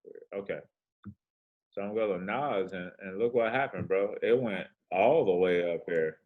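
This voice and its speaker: A man's voice: sits low, moderate pace, phone-quality sound. A man with a soothing voice casually walking through trading charts, laid-back and slangy with rambling asides. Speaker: forex trading host.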